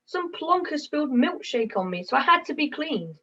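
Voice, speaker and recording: A woman's voice: high voice, very animated, moderate pace, a bit bright. A young woman voicing a put-upon, droll fluffy puppet in an amateur play over a video call. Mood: droll grumbling. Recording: muffled video call audio.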